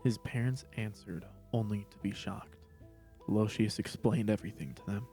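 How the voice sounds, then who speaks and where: A man's voice: on the low side, moderate pace, mellow, faint music behind. A man reading scripted story narration as the narrator.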